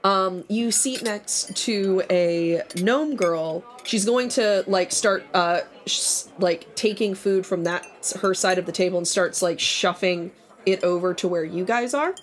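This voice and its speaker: A woman's voice: medium pitch, measured pace. A woman narrating a tabletop game as game master, loose and chatty with many fillers.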